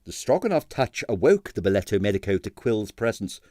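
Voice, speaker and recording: A man reading a comic novel aloud as an expressive audiobook narrator. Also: on the low side, fairly quick, dry recording, clean studio sound. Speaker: audiobook reader. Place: dry recording space.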